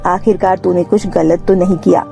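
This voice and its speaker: A woman's voice: lowish voice, fairly even intonation, moderate pace, gravelly, dark tone, faint music behind. A woman playing a poor, hardworking, reassuring mother in a cartoon moral story. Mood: reassuring, firm.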